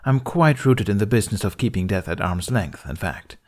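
A man voicing a stern, brisk female alien healer who talks firmly and reassuringly. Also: on the low side, expressive. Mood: stern, soothing.

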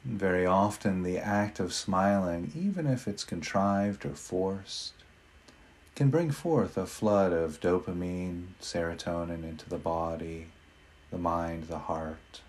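A man leading a guided meditation in a gentle, unhurried manner with long pauses between phrases. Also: low voice.

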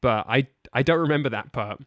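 A man's voice: mid-range voice, a little fast, no room sound. Man chatting in a dry, close recording. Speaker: podcast guest. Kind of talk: casual chat.

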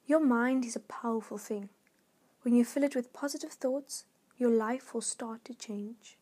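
A woman reading a scripted devotional in a measured, earnest way. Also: on the high side, darkish tone, breathy edge. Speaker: devotional reader.

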